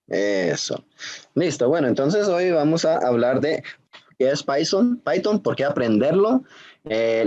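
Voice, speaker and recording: A man's voice: mid-range voice, a little mellow, dull audio. A Colombian man giving an online programming class, with a slightly unusual Spanish accent, chatty and full of fillers and little check-in questions. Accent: slightly foreign-sounding Spanish. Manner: chatty, self-interrupting, many fillers and tag questions. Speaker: university lecturer. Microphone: video call.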